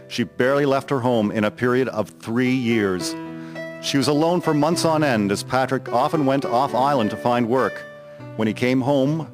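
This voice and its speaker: A man reading scripted historical narration for a dramatic story. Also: medium pitch. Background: music.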